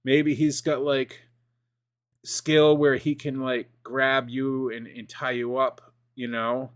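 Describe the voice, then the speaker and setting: A man in his forties chatting casually as a streamer, rambling and excitable, with lots of fillers and restarts. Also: medium pitch, slow, clean tone. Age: 40s. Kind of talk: casual gaming chat.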